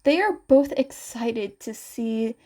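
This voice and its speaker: A woman's voice: fairly high pitch, animated, slow pace, warm tone. A woman reading scripted fan fiction as a narrator. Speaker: podfic narrator and reader.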